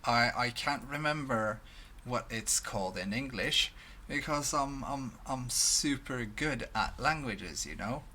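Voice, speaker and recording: A young Swedish man talking to his webcam in English, halting with many restarts and fillers. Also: medium pitch, lively intonation, bright, forceful tone, a little hiss or hum. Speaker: vlogger. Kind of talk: casual talk to camera. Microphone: headphones, desk mic at webcam.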